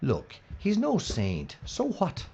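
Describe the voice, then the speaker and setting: Man playing a husky, anxious film presenter. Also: a little high, huge pitch swings, takes it slowly, dark tone, exaggerated voice. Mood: grudging. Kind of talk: audio drama acting.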